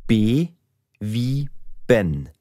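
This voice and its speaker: A man speaking slowly and distinctly in a beginner language lesson, spelling out letters with example names. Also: on the low side, dry recording, studio quality. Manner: spells out letters slowly with a lively, sing-song melody. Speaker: language teacher. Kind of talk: slow, clearly spoken language lesson.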